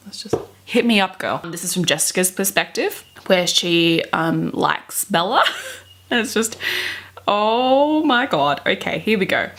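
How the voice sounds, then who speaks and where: A woman's voice: mid-range voice, expressive, measured pace, fairly bright tone. A woman in her twenties giving dramatic readings of fan fiction with chatty, gushing asides, in a theatrical, giggly manner. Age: 20s. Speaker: book vlogger. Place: bedroom, indoors.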